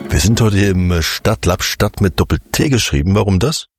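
A man hosting a radio feature, narrating with lively emphasis and interviewing guests. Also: low-pitched, moderate pace, over loud music. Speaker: radio host and reporter.

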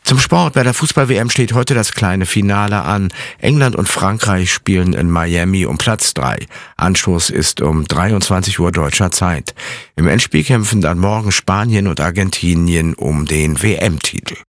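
A man reading sports news and the weather forecast on the radio in a steady, formal way. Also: low voice, a little slow, no room sound, studio quality. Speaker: radio newsreader.

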